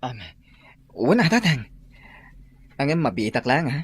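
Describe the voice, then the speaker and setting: An actor playing a gentle young seminarian coming home to his parents, speaking softly. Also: medium pitch, very animated, unhurried, breathy edge, exaggerated voice. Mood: tender.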